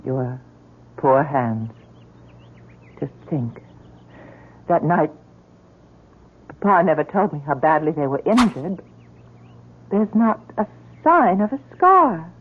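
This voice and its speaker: Husky, gentle young woman, vintage radio. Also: deep voice, big pitch swings, takes it slowly, pauses often, exaggerated voice. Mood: tender, concerned. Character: gentle, dutiful young German woman, tender yet guarded. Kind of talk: old-time radio drama acting. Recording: narrow, tinny vintage radio recording.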